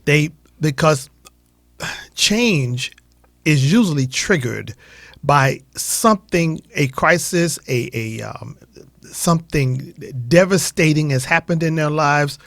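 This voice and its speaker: Gravelly man in reflective conversation. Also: a little high, slow pace, fairly bright tone, no echo.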